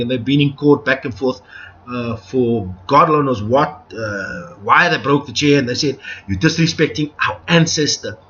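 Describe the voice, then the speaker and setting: A middle-aged man with a South African accent talks live to his viewers, opinionated and digressive, often restarting his sentences. His voice sits high and sharp. The stream sounds dull and lo-fi, with faint music underneath. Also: slight background noise. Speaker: livestream host. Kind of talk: unscripted live commentary to viewers.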